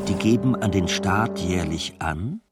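A man playing a solemn reciter of a revolutionary pamphlet, declaiming deliberately in an experimental musical audio drama. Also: deep voice, loud music behind the voice. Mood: declarative. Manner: slow, deliberate, fragmented declamation.

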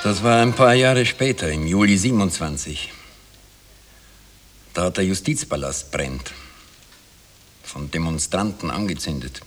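A man's voice: sits low, expressive, a little slow, long pauses, a little hiss or hum. An actor playing a wry Viennese man who tells of his youth in ironic, colloquial radio drama narration. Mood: wry reminiscing.

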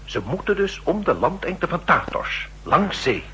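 Desperate spy in old 60s radio play, sharp tense voice, swoopy pitch, faint hiss n hum. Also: male voice, big pitch swings, exaggerated voice. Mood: urgent, pleading. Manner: insistent, tense, halting under pressure. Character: desperate, ailing enemy secret agent selling information. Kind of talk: 1960s Dutch radio drama acting. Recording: noisy old 1960s radio recording with hum.

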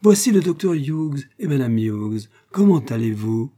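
A man reading aloud, voicing a chattering guest at a ball who rambles on with breathless flattery. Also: mid-range voice, unhurried, pitched above the performer's own voice. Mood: gushing.

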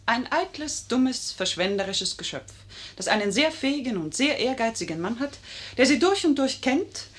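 A clever, proud lady of passion: somewhat high voice, eloquent and pointed, stagey delivery of a 1950s German radio play. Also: very animated, moderate pace. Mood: scornful, impassioned.

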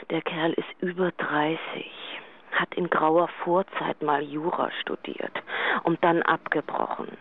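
An actress playing a chatty, blunt, teasing middle-aged woman friend, gossiping and exclaiming in a radio drama phone message. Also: mid-range voice. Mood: gossipy. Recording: tinny phone answering machine line.

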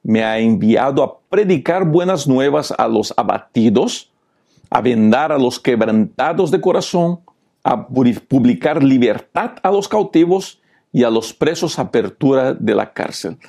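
Gravelly pastor. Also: male voice, medium pitch, expressive, unhurried, dark tone. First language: Portuguese. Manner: preachy, repeated rhetorical questions. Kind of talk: Bible lesson commentary.

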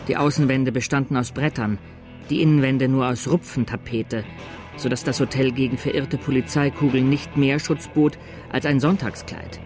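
A sober chronicler played by a man tells a violent tale in a radio drama. His mid-pitched voice is measured and literary. Music runs clearly behind it. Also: steady tone, darkish tone, lightly acted. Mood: grave, measured. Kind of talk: scripted third-person radio drama narration.

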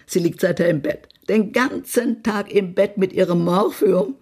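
An actress playing a spiteful, haughty small-town society gossip in a German radio play, blunt and imperious. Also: female voice, medium pitch, measured pace, a little mellow, breathy edge. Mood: gossipy relish. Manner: blunt, acid, imperious, swearing.

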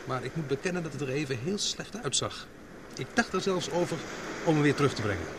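Measured young expedition man, noisy radio drama recording. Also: fairly high pitch, expressive. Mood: light, relieved. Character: capable young expedition man, calm, teasing fiancé.

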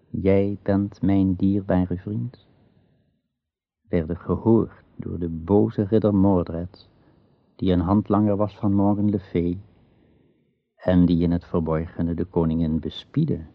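A man narrating a radio play as a solemn storyteller of legend, in a measured, deliberate, literary manner. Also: sits low, level tone, creaky, no echo, highs cut off, heavily acted. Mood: ominous.

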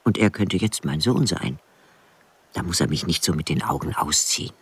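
An actress playing an elderly village widow in a radio drama, plainspoken and stubborn, with a dry, blunt manner. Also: female voice, extremely low pitch, expressive, normal speed, breathy edge, full character voice. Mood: matter-of-fact, dry. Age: older. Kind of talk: radio drama narration and dialogue.

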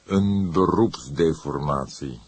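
A man voicing an old police detective, calm, stern and sardonic, speaking slowly in a deep, husky voice for an audiobook. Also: no room sound, heavily acted. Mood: stern, sarcastic. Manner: slow, measured, formal, emphatic.

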